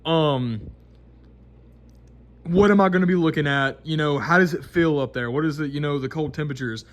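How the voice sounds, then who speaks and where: A man's voice: a little high, normal speed. A twenty-year-old man, talking casually to camera in a rambling, unscripted way full of restarts and fillers. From Mississippi, American South. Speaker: vlogger.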